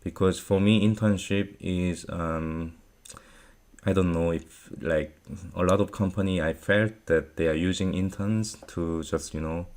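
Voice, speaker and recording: A Korean-born man, Korean his first language, talks in a very low, deep voice. He speaks slowly and hesitantly, pausing on many fillers as he answers viewer questions. His grammar is loose and non-native. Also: fairly even intonation, a little mellow. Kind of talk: unscripted Q&A advice talk.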